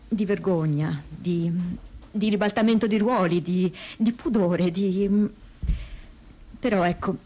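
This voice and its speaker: A woman playing a troubled, introspective daughter in a theatrical testimony reading, pausing often as she thinks aloud. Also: mid-range voice, darkish tone, lightly acted.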